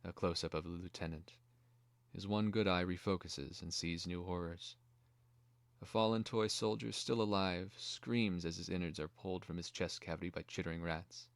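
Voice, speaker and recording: Deep voiced guy reading out a screenplay, measured and dramatic, really dry sound. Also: steady tone, vocal fry, no echo. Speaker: narrator. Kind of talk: scripted narration of a screenplay.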